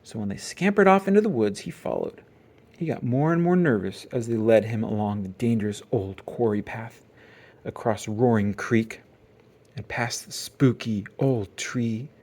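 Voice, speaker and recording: A parent reading a children's picture book aloud in an expressive narrating style. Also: medium pitch, moderate pace. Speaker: storybook reader, parent.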